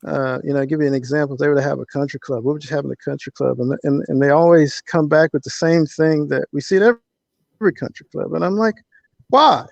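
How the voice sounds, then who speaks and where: A middle-aged man talking casually and reflectively as an interview guest, with halting phrasing, on lo-fi call audio. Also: fairly high pitch, creaky, darkish tone, no room sound.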